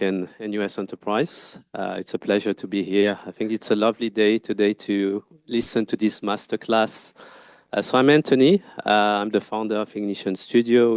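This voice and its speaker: A man with a French accent, introducing himself as a guest speaker at a conference talk, polite and pausing often with fillers. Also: on the low side, darkish tone, clean tone, dry recording. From France. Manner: polite, frequent filler pauses. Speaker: guest speaker, branding firm founder. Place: event stage.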